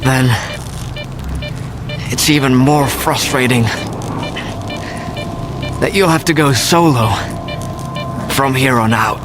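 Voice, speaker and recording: An actor playing a weary, fatalistic male guide in a video game cutscene, speaking in a deliberate, reflective way. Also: mid-range voice, music in the background, close to an everyday voice. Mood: weary, earnest.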